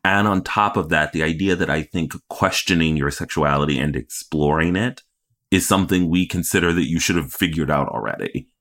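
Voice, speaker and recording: A man's voice: very deep voice, fairly quick, fairly bright tone. A man co-hosting a podcast in a casual, conversational recap style, acting out imagined reactions as he goes. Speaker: podcast co-host.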